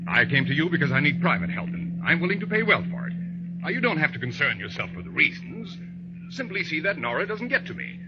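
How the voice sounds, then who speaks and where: An actor playing a frightened, evasive client in a 1940s radio drama, speaking in a nervous, halting, pleading way. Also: male voice, on the high side, very animated. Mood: evasive, uneasy. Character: frightened, evasive, handsome male client, a self-styled composer. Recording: noisy, muffled vintage radio recording.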